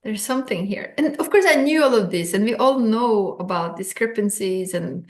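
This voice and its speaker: A woman's voice: mid-range voice, lively intonation, moderate pace. A woman from Norway, a guest on a video call, telling her story in a thoughtful, hesitant way. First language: Norwegian. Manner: thoughtful, hesitant, many ums. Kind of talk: reflective storytelling interview.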